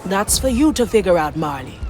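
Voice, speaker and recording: A woman's voice: on the low side, very animated, brisk, a little mellow, soft background music. A woman voicing a determined, wry pirate navigator in a video game, speaking directly. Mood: consoling.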